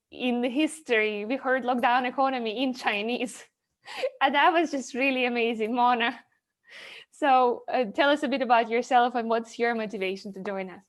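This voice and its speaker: A woman hosting an online volunteer meeting over a video call, thanking people and introducing speakers with many fillers and restarts. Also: on the high side. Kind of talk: casual video call chat.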